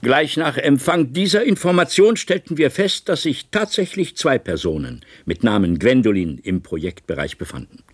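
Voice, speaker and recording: A man's voice: medium pitch, big pitch swings, normal speed, husky, no echo, clean studio sound. An actor playing an authoritative male project official, formally laying out evidence at a hearing in a radio drama. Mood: formal, explaining.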